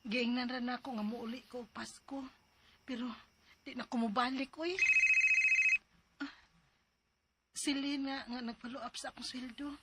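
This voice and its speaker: A woman with a high voice narrates a dramatized life story in the first person. She speaks plaintively and confidingly, as if sharing her troubles. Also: level tone, normal speed, long pauses, heavy background noise. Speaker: storyteller narrating as the letter-sender.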